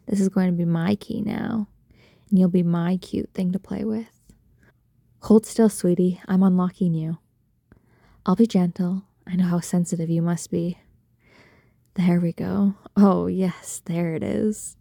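A woman speaking in an intimate adult roleplay style straight to the listener, soft, breathy and coaxing, full of doting pet names. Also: fairly low pitch, fairly even intonation, measured pace, no echo, studio quality. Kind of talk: intimate erotic roleplay audio, addressing the listener.

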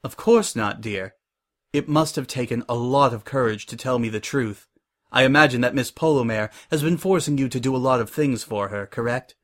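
No-nonsense lady pony boss, read by a male narrator: polite, measured, stern with a kind streak, storybook style. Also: mid-range voice, close to an everyday voice. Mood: gentle.

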